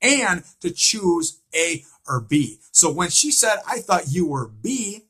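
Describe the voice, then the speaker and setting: Husky guy doing fan theory recap, slow talker, really emphatic, repeats himself a lot. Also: high-pitched, sing-song, sharp tone. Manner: emphatic, repetitive, posing rhetorical questions. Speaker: fan commentator on a TV series. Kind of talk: informal recap and theory commentary.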